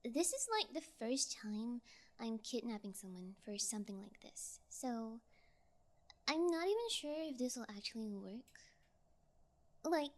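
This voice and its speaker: A woman playing a cocky, callous kidnapper in an audio roleplay, with a flippant, teasing, drawn-out delivery. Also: on the high side, full character voice. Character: cocky, callous sociopathic female yangire kidnapper, flippant and taunting. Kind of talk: ASMR audio roleplay acting.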